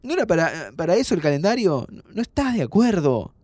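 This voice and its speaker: A man's voice: sits high, sing-song, brisk, darkish tone, no room sound. An actor playing a playful, impatient young man with an Argentine accent, informal and teasing, in a naturalistic audio drama. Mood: pleading, eager. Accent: Argentine Rioplatense. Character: pleading, playful, impatient young man planning time travel. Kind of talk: naturalistic audio fiction acting.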